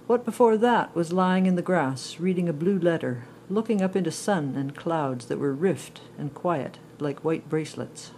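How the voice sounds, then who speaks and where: A woman reading poetry aloud with deliberate, expressive phrasing. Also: low-pitched, a little hiss or hum, poor audio. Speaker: poetry reader.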